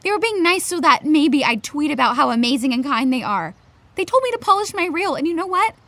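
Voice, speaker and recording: A woman's voice: high voice, sing-song, brisk, fairly bright tone, no room sound, full character voice. An actress playing an anxious, defensive teenage girl in a naturalistic audio drama, with a sarcastic, exasperated edge. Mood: angry, bitter.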